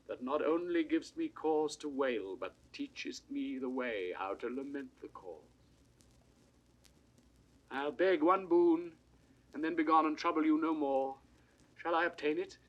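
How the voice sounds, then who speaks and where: A man's voice: mid-range voice, lightly acted, low-quality recording. An English actor playing a grief-stricken, proud deposed king in declamatory Shakespearean verse, in a 1960s audio drama. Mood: brooding sorrow, then ironic pride. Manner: declamatory, drawn-out theatrical verse delivery. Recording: vintage studio recording, faint hiss.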